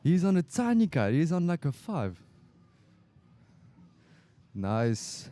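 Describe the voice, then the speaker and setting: A man's voice: a little high, very animated, slow pace, warm tone. A French man with a French accent faintly tinged with South African, co-commentating casually on a live sports stream. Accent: French, with a slight South African tinge. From France. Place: indoor live stream booth by a beach.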